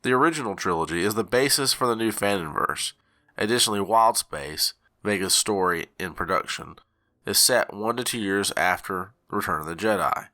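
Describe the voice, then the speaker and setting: A man reading a scripted announcement, setting out group rules in a measured way like a charter. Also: fairly low pitch, sharp tone. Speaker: channel co-founder.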